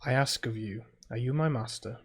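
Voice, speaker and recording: British guy playing a visual novel, chill halting chat and reading lines out, mid-pitched voice. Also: slight background noise. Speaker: gaming video host playing a visual novel. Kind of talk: casual playthrough chat and reading game text aloud.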